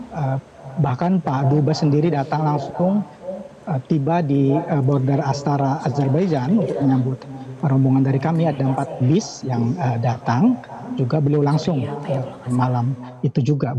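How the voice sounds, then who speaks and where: A middle-aged man answering questions over a remote call, halting and pausing often with filler sounds. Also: mid-range voice. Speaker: evacuee interviewed by phone. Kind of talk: hesitant phone interview answers. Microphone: phone or video call. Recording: dull lo-fi remote line with crowd behind.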